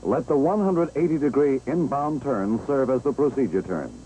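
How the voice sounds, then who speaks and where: An American man narrating a 1960s instructional film, explaining step by step in a measured, clear way. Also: medium pitch, animated, warm tone, low-quality recording. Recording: old 1960s film soundtrack, engine-like background noise.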